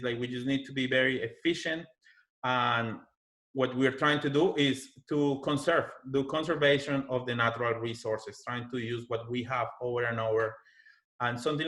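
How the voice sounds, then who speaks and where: A man with a Colombian accent, speaking English as his second language, presents over a webinar from a computer microphone. His voice sits at an ordinary middle pitch, and he works through his points with many ums, repeated words and restarts. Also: fairly bright tone. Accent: Colombian Spanish. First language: Spanish. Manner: speaks with fillers and restarts, non-native phrasing. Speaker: university sustainability manager. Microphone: computer mic on a video call.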